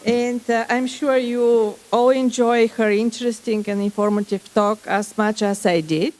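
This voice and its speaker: A woman wraps up the event with brief, formal thanks. Her voice is a little higher than most women's. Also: steady tone, measured pace, a little mellow, rough recording. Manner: formal, polite. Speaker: event host giving thanks. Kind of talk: brief closing remarks.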